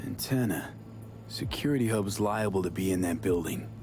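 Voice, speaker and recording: Wry, low-voiced street mercenary. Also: male voice, animated, moderate pace. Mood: focused. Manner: casual, clipped, slangy. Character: streetwise young male mercenary, wry and blunt. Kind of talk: video game voice acting. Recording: music behind the voice.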